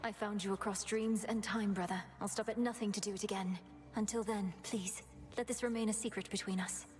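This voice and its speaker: A woman's voice: medium pitch, steady tone, a little fast, a little mellow, faint music behind, close to an everyday voice. A woman voicing an earnest, determined young witch princess in a video game, speaking in a solemn, formal manner. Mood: urgent, resolute.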